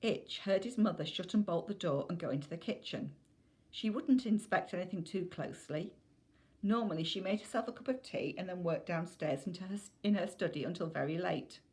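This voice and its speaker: A woman's voice: fairly low pitch, animated, brisk, a little mellow. A woman reading a children's novel aloud as scripted narration.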